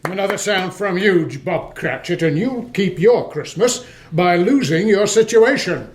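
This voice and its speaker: A man's voice: sits high, a little slow, slight background noise. A man acting a scornful, curt old miser, a sneering uncle, in a radio play. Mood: curt, dismissive.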